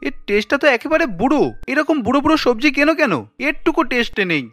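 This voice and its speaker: A fast, dry-recorded voice of a grumbling cartoon husband played by a man. He is demanding, curt and sarcastic, with faint music underneath. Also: very high-pitched, very animated, a bit bright, no room sound, full character voice. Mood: annoyed. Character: irritable, demanding salaried husband and father.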